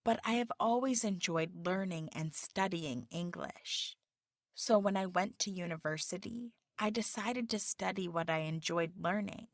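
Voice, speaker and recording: A woman reading the applicant's part in a scripted practice job interview, with careful, deliberate delivery. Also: on the low side, no room sound, studio quality.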